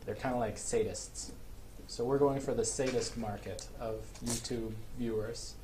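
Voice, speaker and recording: A middle-aged man, a father playing a video game with his daughter, talking calmly and dryly and explaining things patiently. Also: mid-range voice, unhurried. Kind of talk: casual gameplay chat. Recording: clear background noise.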